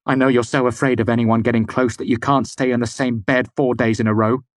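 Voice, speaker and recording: A man voicing a proud, hot-tempered young man in an audiobook, shouting biting words. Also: lowish voice, little pitch movement, slow, slightly rough, dry recording, studio quality, heavily acted.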